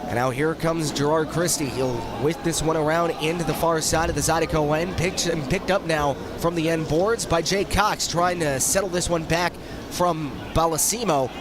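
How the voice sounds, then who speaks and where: A man calling hockey play-by-play, sounding nasal from a head cold, with a rapid running commentary that rises on shots. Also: a little high, background noise. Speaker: play-by-play announcer.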